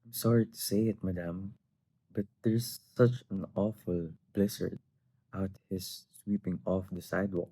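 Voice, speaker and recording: Man playing a deep, very mellow butler. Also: fairly even intonation, measured pace, no room sound, rough recording. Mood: deferential. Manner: polite, restrained. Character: patient, professional, deferential male butler. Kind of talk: stage play reading.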